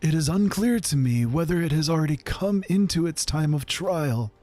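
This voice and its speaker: A grave old wizard speaks in a soft, unhurried hush, as a man puts him on, his dark, mellow voice carrying a husky edge. He sounds solemn and deliberate, like a wise figure in a spoken fantasy tale. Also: fairly high pitch, animated, no room sound, faint music behind, voice pushed up. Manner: slow, hushed and grave.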